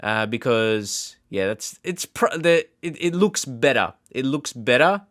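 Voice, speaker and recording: A man hosting a gaming channel, giving casual, off-the-cuff reaction commentary in a rambling, halting, informal way. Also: mid-range voice, expressive, fairly bright tone, no room sound, clean studio sound.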